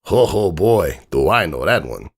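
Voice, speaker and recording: A man playing a gruff, world-weary, streetwise stallion who tells a bedtime story in slangy, grumbling and wistful tones, as a dramatic reading. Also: low voice, sing-song, measured pace, full character voice. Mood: wistful, smitten.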